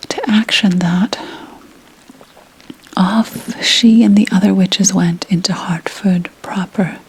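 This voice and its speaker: A woman voicing a 17th-century witch who tells of her coven in a breathy ASMR whisper, hushed and deliberate. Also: mid-range voice, slight background noise, natural voice, poor audio. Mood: wry, hushed. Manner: slow, hushed, deliberate storytelling. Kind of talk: whispered ASMR roleplay storytelling.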